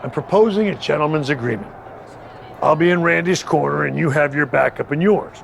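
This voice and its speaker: An actor playing a scheming male rival manager in a wrestling video game cutscene, calm and persuasive with a faint taunting edge. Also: a little high, fairly quick, creaky, a little hiss or hum.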